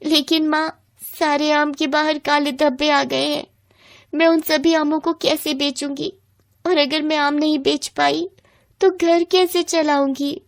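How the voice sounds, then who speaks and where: A high-pitched, plaintive young village woman, acted out by a female storyteller. She is poor and earnest, pleading her case in a moral bedtime tale. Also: flat delivery, measured pace, gravelly. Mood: worried.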